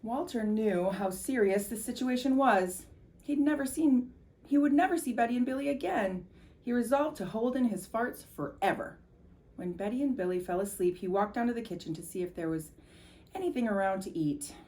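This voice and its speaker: A woman reading a children's story aloud as a volunteer, with animated, emphatic delivery. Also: on the high side, moderate pace.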